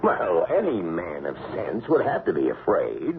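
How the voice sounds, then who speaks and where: An actor playing a cunning, controlling political fixer who needles smugly, in old-time radio drama style. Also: male voice, huge pitch swings, creaky, phone-quality sound, exaggerated voice. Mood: firm. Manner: deliberate, needling, smug. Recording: boomy echo, band-limited old radio, noisy.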